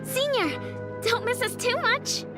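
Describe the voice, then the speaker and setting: An actress playing a sweet, admiring, excitable junior schoolgirl in a video game. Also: very high voice, a little slow. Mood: sweet, fond. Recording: loud music under the voice.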